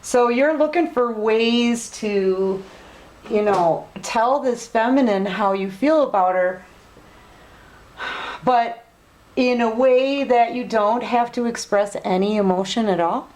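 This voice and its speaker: A woman giving a casual online tarot reading, chatty and direct, gently scolding her listeners. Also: on the high side, slow, rough recording. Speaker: tarot reader.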